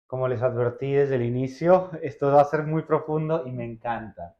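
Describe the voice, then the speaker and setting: Podcast host: attentive man, mid-pitched, conversational interviewing, recorded a little dull and lo-fi. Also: normal speed, crowd noise. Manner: attentive, frequent short acknowledgements.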